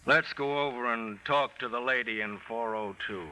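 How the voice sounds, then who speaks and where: A man's voice: medium pitch, normal speed, very noisy, natural voice. A man playing a tough, sardonic American police detective in a 1940s radio crime drama, questioning curtly. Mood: hard, sardonic. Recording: vintage 1940s radio recording.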